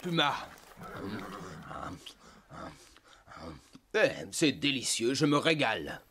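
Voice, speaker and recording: A man voicing an easygoing young wild horse in an animated series, relaxed and persuasive, proud of a free life. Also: medium pitch, normal speed, a little hiss or hum. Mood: eager. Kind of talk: animated series voice acting, French dub.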